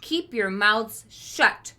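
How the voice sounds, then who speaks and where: Woman acting a snapping, forceful, strict witchy teacher. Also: on the high side, lively intonation, drawn-out words, heavily acted. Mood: stern, commanding. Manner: snapping, hissing, commanding. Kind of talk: children's storybook read-aloud.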